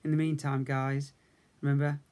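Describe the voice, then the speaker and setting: A man's voice: mid-range voice, little pitch movement, moderate pace, darkish tone. A man in his fifties with an English accent, a teacher reading a story to young children. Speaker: infant school teacher. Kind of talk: children's story read-aloud. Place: indoors, room at home.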